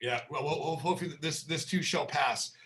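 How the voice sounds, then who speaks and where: A man's voice: fairly high pitch, brisk, a bit bright. A man hosting an educational webinar, talking with stammering restarts and wry asides. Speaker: webinar host.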